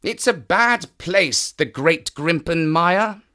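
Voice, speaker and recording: A man playing an eager male naturalist who knows the moors, explaining with animated enthusiasm. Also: a little high, slow, heavily acted. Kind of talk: audio drama acting.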